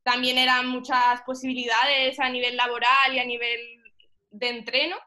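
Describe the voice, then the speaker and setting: A young woman from Egypt talks informally as a podcast guest. Her voice is fairly high and very bright, while her intonation stays quite flat. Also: normal speed. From Middle East, mostly Egypt. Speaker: powerlifting athlete and coach, podcast guest. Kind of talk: casual interview chat.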